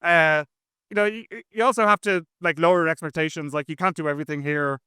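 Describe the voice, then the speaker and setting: A man's voice: on the high side, fairly even intonation, quick pace, pushed and bright, clear, resonant tone, dry recording, studio quality. A man chatting casually as an interview guest, talking in a rambling, slangy way. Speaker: interview guest, software engineer.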